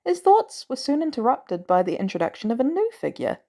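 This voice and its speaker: A woman reading scripted fiction as a podfic narrator, with expressive, dramatic emphasis. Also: high-pitched, brisk.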